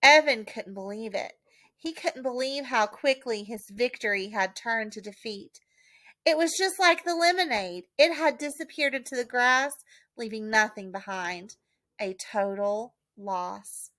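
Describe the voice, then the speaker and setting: A woman reading a children's storybook expressively, with dramatic, deliberate emphasis. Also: fairly high pitch, fairly bright tone, no echo. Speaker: audiobook reader, teacher.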